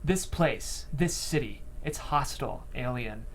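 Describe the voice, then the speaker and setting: A man's voice: medium pitch, expressive, measured pace, slight background noise. A man reading scene headings and stage directions as narrator of a script table read.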